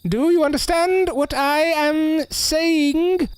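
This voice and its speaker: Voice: high-pitched, takes it slowly. A performer playing a bitter, boozy, self-important washed-up ventriloquist in an audio drama, theatrical and condescending. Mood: wary, patronising.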